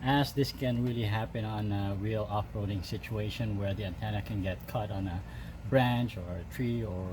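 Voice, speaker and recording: A man's voice: on the low side, noisy background. A man hosting a DIY video, talking through the steps casually and unscripted, with small hesitations. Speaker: host of a DIY truck modification channel. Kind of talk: casual step-by-step how-to narration. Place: home garage.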